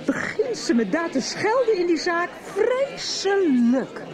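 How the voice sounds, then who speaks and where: A woman's voice: very high voice, takes it slowly, exaggerated voice. An actress in her thirties playing a droll, gossipy estranged wife confiding in a friend in a radio soap, telling it vividly. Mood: mock outrage. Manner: animated storytelling, droll. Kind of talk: Dutch radio soap acting. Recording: music and chatter behind the voice.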